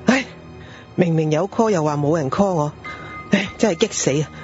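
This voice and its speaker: A man's voice: on the high side, normal speed, natural voice. Man acting harried, sighing father, muffled lo-fi. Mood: exasperated. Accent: Hong Kong. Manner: sighing, exasperated. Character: harried, grumbling father with a pager and a headache. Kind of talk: Cantonese radio drama acting. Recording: dull lo-fi audio with background music.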